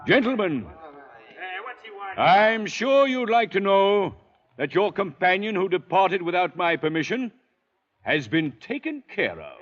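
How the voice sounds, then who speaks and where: Urbane, ruthless tycoon father, 1940s radio drama; hugely animated pitch, grandiose manner, dull vintage recording. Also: heavily acted. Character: genial, ruthless, wealthy patriarch of a hidden estate.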